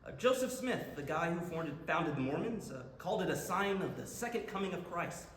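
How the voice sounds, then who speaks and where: A man's voice: on the high side, sing-song, a little fast, a bit bright. A man acting an enthusiastic young astronomy buff in a staged play reading, chatty and explanatory. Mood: eager. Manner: explanatory, chatty, stumbles on words. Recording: echoey room with background noise.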